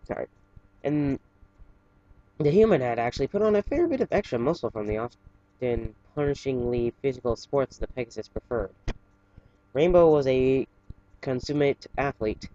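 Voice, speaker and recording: A man casually reading fan fiction aloud in a halting way, stumbling and correcting himself, with chatty asides. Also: medium pitch, expressive, mellow, muffled recording.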